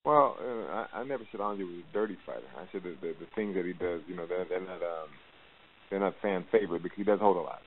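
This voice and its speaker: A man's voice: sits low, quick pace. A man, a boxing coach answering press questions with emphatic, repetitive phrasing. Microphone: phone call. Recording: hissy, narrowband phone conference line.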